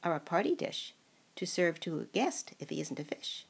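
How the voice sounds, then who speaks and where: Know-it-all storybook cook played by an older woman: confident, emphatic, husky, with lively pitch. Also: moderate pace, exaggerated voice. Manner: emphatic, declarative rhymes.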